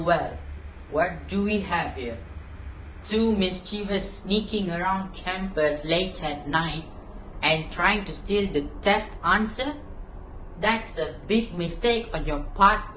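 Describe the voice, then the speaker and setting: A woman's voice: fairly low pitch, slow, a bit bright. A woman playing a stern, taunting campus security guard in an amateur reader's theater piece. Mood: gloating, stern. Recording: echoey room, faint noise.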